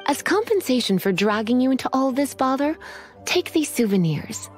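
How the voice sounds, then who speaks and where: A high-voiced, teasing lady librarian mage. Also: sing-song. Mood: teasing, pleased. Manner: teasing, unhurried, formal wording. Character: poised, languid adult female librarian mage, teasing and faintly menacing. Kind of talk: video game character acting. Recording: music behind the voice.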